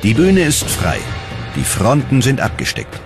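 A man's voice: medium pitch, huge pitch swings, measured pace, over music. A man reading scripted documentary narration in a dramatic storytelling manner.

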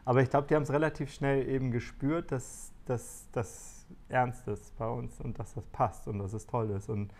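A man in his forties talking in a relaxed interview about family life, thoughtful and full of small fillers and restarts, recorded on a lapel mic indoors. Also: mid-range voice, normal speed, hardly any pauses. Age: 40s. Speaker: interviewee, father on a shared farm. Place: bright living room indoors.

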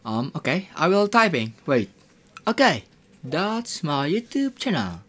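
A man's voice: on the high side, sing-song, a bit bright. A young man from Indonesia, chatting shyly in broken English on a webcam video call from a small room. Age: young adult. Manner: slow, friendly, a bit shy with broken English. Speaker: street-style singer chatting with strangers. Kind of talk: casual video chat. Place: small room indoors with blue curtain. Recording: acoustic guitar behind the voice.

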